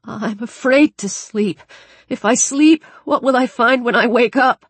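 A woman's voice: medium pitch, measured pace, slightly rough, dry recording, pitched above the performer's own voice. A woman voicing a frightened, grieving teenage boy with a thin, fragile voice in an audiobook story. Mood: fearful. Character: frightened, grief-stricken teenage boy, exhausted and despairing.

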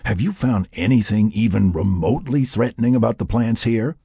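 Man playing a gruff, husky, hot-headed settler. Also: fairly low pitch, a little fast, warm tone, no room sound, studio quality, pitched above the performer's own voice. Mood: confrontational. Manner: folksy, argumentative. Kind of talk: audiobook character dialogue.

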